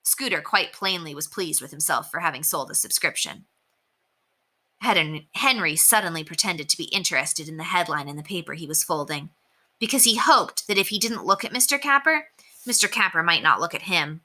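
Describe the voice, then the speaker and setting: Woman teacher, storybook read-aloud, lively pitch, very bright tone. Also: normal speed. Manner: expressive storytelling. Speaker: elementary teacher. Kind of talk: reading a chapter book aloud.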